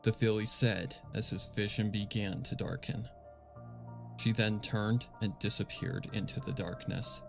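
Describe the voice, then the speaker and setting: A man narrating horror fan fiction in a grave, deliberate, scripted dramatic style. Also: on the low side, vocal fry. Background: music.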